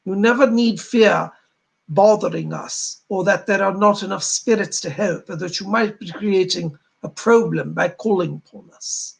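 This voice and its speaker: A man as a high-pitched, gravelly spirit guide. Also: moderate pace, full character voice. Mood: benevolent, reassuring. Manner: formal, old-fashioned, oratorical phrasing. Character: solemn, benevolent male spirit guide named Alfred. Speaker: trance medium speaking as a spirit. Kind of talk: séance address, sermon-like.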